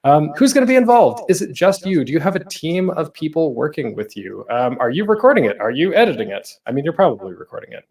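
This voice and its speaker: A man's voice: a little high, very animated, quick pace, hardly any pauses, darkish tone, distant mic. A man giving a casual, informal presentation as a panel host, with rhetorical questions and offhand self-deprecating asides.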